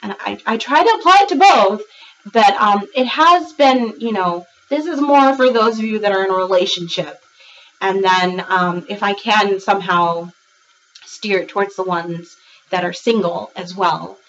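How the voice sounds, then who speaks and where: A woman's voice: medium pitch, normal speed, fairly bright tone, far from the mic, lo-fi sound. Congested woman giving a chatty tarot reading. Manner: rambling and chatty with many fillers and restarts. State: congested, a little raspy from a cold. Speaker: tarot reader. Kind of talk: casual monthly tarot reading to camera.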